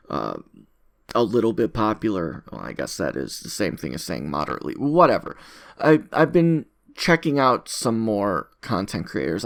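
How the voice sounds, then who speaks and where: A man's voice: on the low side, gravelly. A man talking casually in an unscripted audio log, rambling in a self-deprecating way and repeating his phrases. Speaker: content creator recording an audio log.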